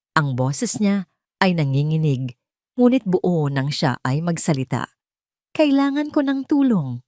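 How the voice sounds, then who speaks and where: A woman's voice: low voice, a little mellow, no echo, studio quality. A woman with a Filipino accent reading a scripted, dramatic story as its narrator.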